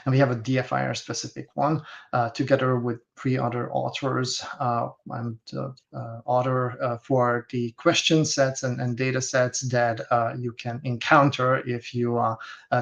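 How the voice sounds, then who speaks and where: A man from Hungary, a guest instructor speaking haltingly with many fillers. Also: mid-range voice, creaky. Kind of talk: casual webinar talk. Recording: dull lo-fi video call audio.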